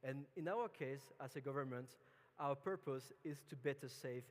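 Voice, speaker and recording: A man from France with a French accent, giving a relaxed, chatty conference talk with many fillers and restarts. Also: mid-range voice, moderate pace. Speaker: conference speaker. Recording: long hall reverb.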